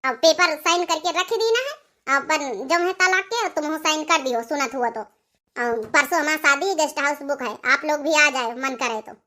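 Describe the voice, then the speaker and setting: Woman playing a haughty, very shrill young wife, Awadhi accent. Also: normal speed, pushed and bright. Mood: cold contempt. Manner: haughty, curt, dismissive. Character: haughty young teacher wife, cold, contemptuous. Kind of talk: comic village cartoon dialogue.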